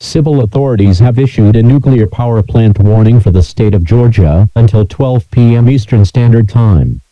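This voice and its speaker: A man's synthesized voice reading scripted emergency alert messages in a flat, measured, robotic delivery. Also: medium pitch, no room sound, muffled recording.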